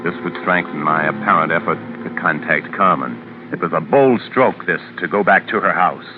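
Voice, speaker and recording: A man's voice: deep voice, normal speed, background noise, natural voice. An actor playing a pompous, calculating lawyer who narrates his own crime, in 1940s radio style on a muffled old recording with music. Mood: smug, calculating. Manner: pompous, self-satisfied, articulate.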